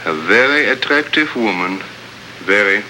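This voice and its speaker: Haughty villain, wildly swooping delivery. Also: male voice, on the low side, lively intonation, sharp tone, heavily acted. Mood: smug. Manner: formal, pompous, deliberate phrasing. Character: haughty, menacing foreign male agitator and schemer. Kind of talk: 1950s radio adventure drama acting. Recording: noisy old radio drama recording.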